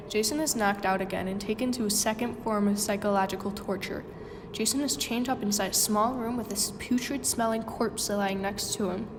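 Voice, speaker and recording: A young woman reading scripted story narration for an amateur radio drama. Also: medium pitch. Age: young adult. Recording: noisy hall with long reverb.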